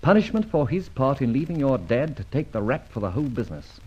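An actor playing an amused, self-satisfied gentleman thief in an old radio serial, with flippant, urbane, florid delivery. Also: medium pitch, sing-song, quick pace, husky. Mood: sly, suave. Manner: theatrical, urbane, chuckling, florid phrasing. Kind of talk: 1950s-60s radio serial acting. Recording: dull vintage radio recording, light noise.

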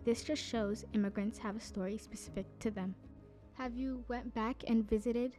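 A young woman host reading prepared interview questions and comments in an even way. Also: fairly high pitch, moderate pace, soft background music. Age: young adult. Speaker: youth interviewer. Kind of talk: scripted interview questions and narration.

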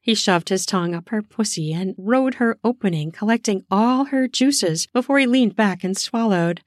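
A woman narrating an erotic story in an unhurried, intimate reading. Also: medium pitch, no room sound, studio quality.

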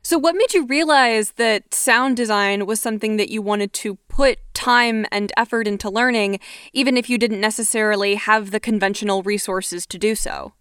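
A young woman hosting a podcast, giving clear, instructive scripted narration and asking interview questions. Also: medium pitch, normal speed, fairly bright tone, dry recording, clean studio sound. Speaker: podcast host.

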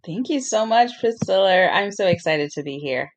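A woman lawyer from Zimbabwe in her thirties speaks as an interview guest. She sounds earnest and reflective, with a close, dry recording and a middle pitch. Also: animated, moderate pace, no room sound. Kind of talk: interview conversation.

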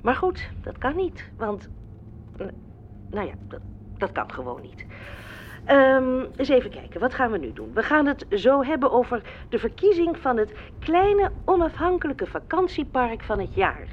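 A woman's voice: sits high, sing-song, normal speed, a little hiss or hum. A woman playing a cosy, chatty radio host who gushes and trails off, in a comedic radio play. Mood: gushing, scatterbrained. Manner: gushing, trailing off. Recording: dull, band-limited radio sound. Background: music.

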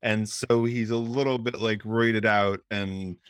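A man's voice: on the low side, fairly even intonation, measured pace, fairly bright tone, smooth, no echo, studio quality. A man co-hosting a casual podcast, recapping a film's plot while musing and hedging aloud. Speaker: podcast co-host. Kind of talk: casual movie discussion.

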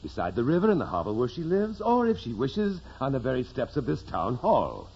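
An actor playing a pompous, officious town official who schemes in deliberate phrases, in 1940s radio drama style. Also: male voice, mid-range voice, huge pitch swings, darkish tone. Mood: coolly scheming. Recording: muffled, noisy old radio broadcast.

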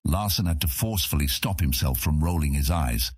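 A man reads a fantasy story as narrator. His voice is low and husky with animated rises and falls, captured close in a dry studio sound. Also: fairly quick, no echo. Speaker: audiobook narrator.

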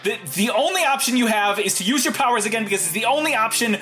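A high, sharp-toned male hero in an audio drama. He is analytical and insistent, tripping over words under pressure as he coaches someone. Faint music sits behind him. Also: lively intonation, fast, heavily acted. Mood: urgent, insistent.

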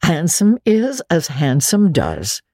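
A woman voicing a stern, practical older spinster in a storybook, brisk and curt as she moralises. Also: low voice, wildly swooping pitch, dark tone, dry recording, studio quality, heavily acted.

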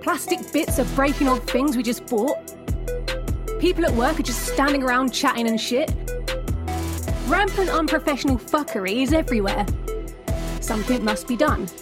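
An actress playing a jaded, bitter podcast host with a deadpan, sardonic delivery in a scripted comedy audio drama. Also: female voice, sits high, normal speed, pauses often, close to an everyday voice. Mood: grandly indignant. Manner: deadpan, sardonic, put-upon. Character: jaded, bitter woman podcast host who hates her life. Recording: loud music behind.

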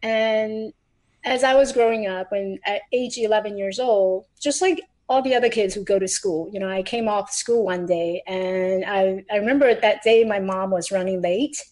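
A woman's voice: mid-range voice, moderate pace, darkish tone. Woman telling her story. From Taiwan. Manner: earnest, frequent restarts, slight non-native grammar. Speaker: podcast guest. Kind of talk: personal storytelling.

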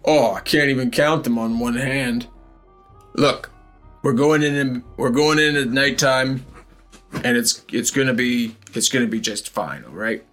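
A man's voice: medium pitch, unhurried, a bit bright, soft background music, close to an everyday voice. Man doing a bossy cult raider. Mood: patronizing. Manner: condescending, hesitant restarts.

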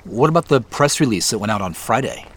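An actor playing a thoughtful, wry and slightly weary business leader in a naturalistic audio drama, speaking in a low-key, halting way. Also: male voice, animated, a bit bright, breathy edge. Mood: wry, wary.

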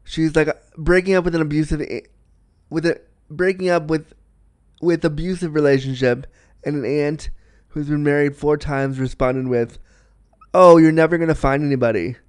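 Male podcast host, slightly high voice, sniffly with a cold, loose and chatty. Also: fairly even intonation, moderate pace, clean tone, no echo, studio quality. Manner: chatty, rambling, repeats himself. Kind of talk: casual solo podcast chat.

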